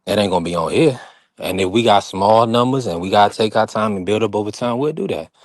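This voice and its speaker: A man's voice: fairly low pitch, expressive, quick pace, dry recording. A man from North Carolina hosting a boxing stream, talking firmly and preachily in a heated casual chat. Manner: firm, preachy, talks over others. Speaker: channel host.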